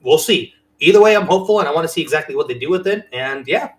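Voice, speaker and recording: A man chats as a podcast co-host in a voice a little higher than most men's. He is earnest and emphatic, speaking like a devoted fan. The style is informal and conversational. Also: animated, fast talker. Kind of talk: casual chat.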